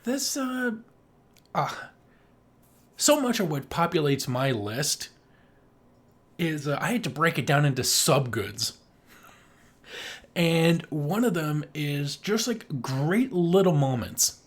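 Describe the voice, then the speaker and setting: A man with a slightly high-pitched voice co-hosts a casual film podcast. He talks in loose, rambling runs, with a joking tone and some swearing. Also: very animated, takes it slowly, pauses often. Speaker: podcast co-host.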